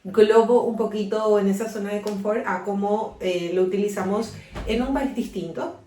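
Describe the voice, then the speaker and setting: A young woman with a Paraguayan accent chats casually as a podcast co-host. Her voice sits at an ordinary pitch. The recording is roomy and echoey, a little far from the mic. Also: moderate pace, warm tone. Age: 20s. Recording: roomy echo, distant from the mic.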